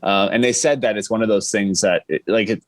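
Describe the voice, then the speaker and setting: A man's voice: on the low side, fairly quick, dry recording. A man chatting casually as a podcast guest, explaining things in a rambling way. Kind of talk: casual chat.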